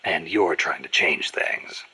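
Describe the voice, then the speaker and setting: Extremely raspy, gruff soldier. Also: male voice, extremely low pitch, takes it slowly, pushed and bright, exaggerated voice, low-quality recording. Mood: gruff, probing. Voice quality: gravelly, raspy growl. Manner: terse, probing. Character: gruff, battle-worn middle-aged American soldier, laconic. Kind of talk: scripted video game voice acting.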